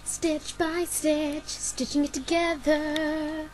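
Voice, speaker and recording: A woman's voice: high-pitched, little pitch movement, takes it slowly, a little mellow. A woman singing an amateur fan cover of a musical cartoon song, with music behind her. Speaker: fan singer and dubber.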